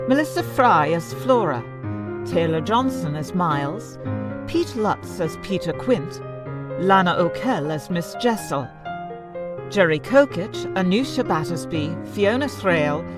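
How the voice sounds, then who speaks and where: A woman's voice: medium pitch, lightly acted. A woman playing a formal, precise announcer in a scripted audio drama. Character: stubborn, opinionated woman interrupting a production to correct it.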